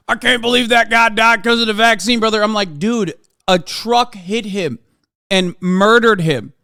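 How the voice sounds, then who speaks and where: A man's voice: high-pitched, a little slow, bright, forceful tone, clear, resonant tone, no room sound, clean studio sound. A man in his thirties ranting in casual reaction commentary, profane and exasperated. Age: 30s. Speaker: streamer reacting to clips.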